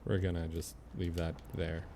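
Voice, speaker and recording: A man's voice: sits low, completely flat delivery, moderate pace, warm tone, slight background noise. A man doing casual, playful live gameplay commentary, talking to the characters in the game and swearing now and then. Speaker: game streamer.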